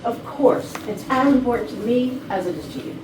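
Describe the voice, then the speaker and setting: A woman acts out a dutiful, wary assistant in a staged comedy reading. Her voice is a bit high and lively, picked up from far off in a noisy, echoey room. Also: a little fast, lightly acted. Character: dutiful, wary female assistant to a company boss. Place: rehearsal room.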